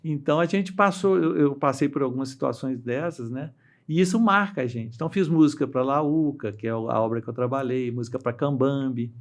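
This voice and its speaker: A middle-aged man from Brazil with a Brazilian Portuguese accent, telling stories as an interview guest in a halting, restart-heavy way, voicing the people he quotes. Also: mid-range voice. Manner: halting, with many restarts and fillers; acts out quoted voices while telling stories. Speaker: interview guest, dam engineer. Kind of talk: casual storytelling interview.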